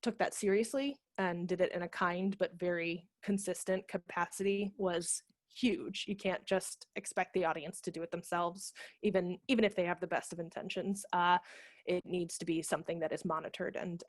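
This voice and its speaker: A woman's voice: fairly low pitch, steady tone, normal speed, dry recording. A woman in her thirties explaining informally as a guest speaker on a video call, through a headset microphone. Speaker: guest speaker, theatre managing director. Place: room at home.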